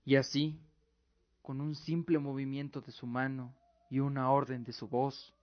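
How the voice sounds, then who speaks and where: A man's voice: mid-range voice, no room sound. A man narrating a scripted radio drama in a solemn, theatrical way. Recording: muffled lo-fi audio, music behind. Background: music.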